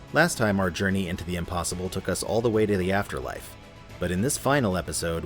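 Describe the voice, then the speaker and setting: Deep-voiced man hosting, scripted introduction. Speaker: host. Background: faint music.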